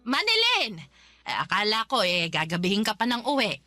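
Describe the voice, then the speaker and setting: Radio drama grandma, Filipino, elderly: excitable, doting, forceful bright voice, lively melody. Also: female voice, medium pitch, no room sound, faint music behind. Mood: tender, caring. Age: older. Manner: exclamatory, halting, breaking into cackles.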